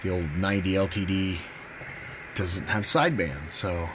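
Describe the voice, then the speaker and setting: Low-voiced man chatting casually, noisy background. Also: steady tone, normal speed. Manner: folksy, informal enthusiast talk. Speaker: host of a CB radio demo channel. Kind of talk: casual product demonstration. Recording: clear background noise.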